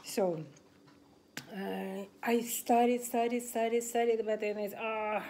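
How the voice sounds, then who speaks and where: A woman in her forties with a Russian accent, casually vlogging at a table, talking in a halting way with many fillers and restarts. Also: fairly high pitch, little pitch movement, dark tone, slight background noise. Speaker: massage student sharing exam tips. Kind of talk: casual talking-head vlog. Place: indoors at a table in a home room.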